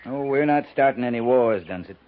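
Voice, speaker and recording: An American actor playing a terse, probing cavalry captain in a 1950s western radio drama, with a dry, clipped manner. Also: male voice, mid-range voice, brisk, close to an everyday voice. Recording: noisy, tinny 1950s radio recording.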